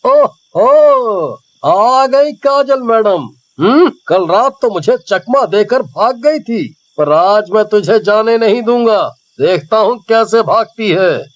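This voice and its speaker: A man voicing a lecherous, cowardly young harasser in a horror story, with drawn-out delivery and a dull recording. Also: high voice, expressive, no room sound. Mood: leering, teasing.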